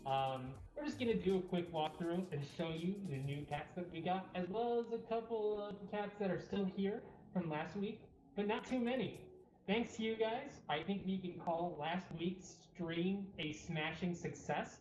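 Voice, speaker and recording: A woman's voice: lowish voice, normal speed, a little mellow, a little hiss or hum. A woman casually hosting a livestream walkthrough in an informal way. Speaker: cat cafe host. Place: indoor cat cafe room. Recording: roomy echo, faint music behind. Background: faint music.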